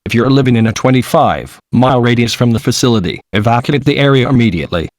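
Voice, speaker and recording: Guy doing a news anchor, formal and composed, talks fast, really dry sound. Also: medium pitch, lively intonation, dry recording, lightly acted. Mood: formal, urgent. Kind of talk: scripted newscast.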